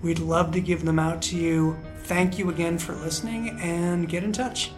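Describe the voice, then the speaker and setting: A man's voice: a little high, fairly even intonation, moderate pace. A man, the creator of a show, reading a scripted thank-you announcement to listeners. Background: music.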